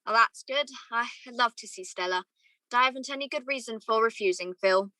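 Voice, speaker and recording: Bright-voiced woman as a wistful young gentleman. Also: on the high side, fairly quick, poor audio. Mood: genial, flustered. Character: conflicted young gentleman in love, wistful narrator. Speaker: student or guest actor in a radio play. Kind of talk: radio play acting with first-person narration.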